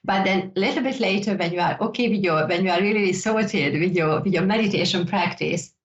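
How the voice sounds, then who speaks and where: A woman's voice: fairly low pitch, a little fast. A woman with a Hungarian accent, native speaker of Hungarian, hosting a psychic show and teaching in a loose, rambling way, often repeating words and adding "you know". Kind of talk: casual teaching talk. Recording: dull lo-fi sound.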